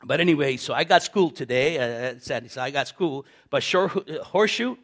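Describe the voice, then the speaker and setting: A man in his late fifties delivers a sermon, his voice sitting a little high for a man. He tells his own story in a lively way, repeating words, laughing and acting out the voices of family members and his pastor. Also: a little fast. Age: 50s. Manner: animated, repeats words, laughs often, acts out quoted voices.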